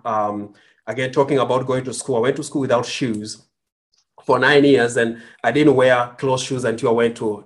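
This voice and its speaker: A man from Kenya with a Kenyan accent, a guest entrepreneur telling his story earnestly, with many fillers and restarts. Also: medium pitch. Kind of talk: conversational storytelling.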